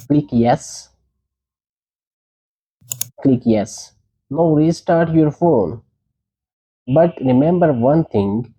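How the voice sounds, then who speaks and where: A man's voice: medium pitch, mellow, poor audio. A man presenting a tech tutorial, giving short, plain step-by-step instructions.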